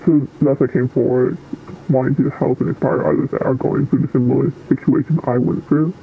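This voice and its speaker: Hesitant teen on a tinny line. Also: male voice, mid-range voice, steady tone, brisk, vocal fry. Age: teens. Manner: hesitant, soft-spoken, many ums and likes. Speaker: interviewee. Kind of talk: remote interview answers. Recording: tinny phone-like line with faint noise.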